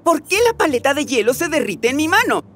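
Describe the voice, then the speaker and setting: Casting: an inquisitive, bubbly kids' cartoon host, high, very animated, unhurried, over music. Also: female voice. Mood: curious, upbeat. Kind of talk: children's educational cartoon dub.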